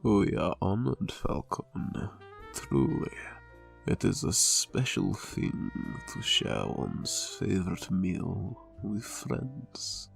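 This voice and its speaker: An actor as an ailing, deferential mobster in an audio drama. His low voice is extremely creaky and very slow, every phrase courteous, formal and careful. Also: huge pitch swings, soft background music, heavily acted.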